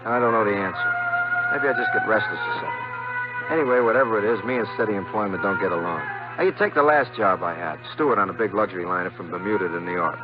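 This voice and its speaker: An American actor playing a breezy, flirtatious, slangy drifter working as a steward in a 1950s radio drama. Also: male voice, lowish voice, huge pitch swings, quick pace. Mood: wry. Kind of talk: 1950s radio drama acting, hardboiled first-person narration. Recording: dull old 1950s radio recording with music.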